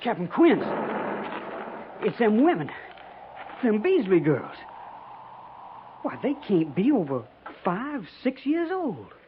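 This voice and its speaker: An eager, deferential cavalry lieutenant. He speaks slowly with very wide swings of pitch, on a noisy, narrow-band old radio recording. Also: wildly swooping pitch, exaggerated voice. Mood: moved. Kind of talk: 1950s radio western acting.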